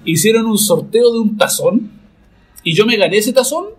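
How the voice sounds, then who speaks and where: A man's voice: high-pitched, lively intonation, normal speed, husky. A man co-hosting a casual podcast chat, rambling, swearing and joking through mock complaints. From Argentina. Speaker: podcast co-host.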